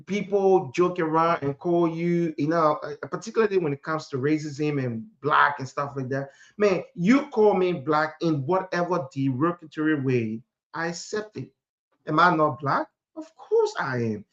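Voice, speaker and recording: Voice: mid-range voice, lo-fi sound. A speaker from Africa preaching a motivational sermon in an emphatic manner, repeating phrases and posing rhetorical questions.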